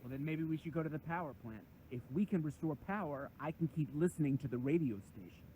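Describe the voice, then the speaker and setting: A man playing a mellow, laid-back eccentric. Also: a little high, slight background noise, lightly acted, low-quality recording. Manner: calm, unbothered, halting. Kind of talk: comedic sketch acting.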